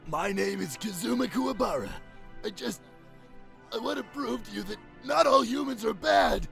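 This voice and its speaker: Hot-headed young anime brawler, heavy rasping fry, swooping pitch, strained, earnest. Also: male voice, animated, normal speed, a bit bright, faint music behind, heavily acted. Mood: earnest, tender. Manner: blustering, earnest. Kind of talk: anime parody voice acting.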